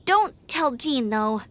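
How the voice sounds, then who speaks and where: A woman's voice: sits high, fairly bright tone, full character voice. A woman playing a perky, earnest young female knight in a video game, chatty and emphatic. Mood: confiding.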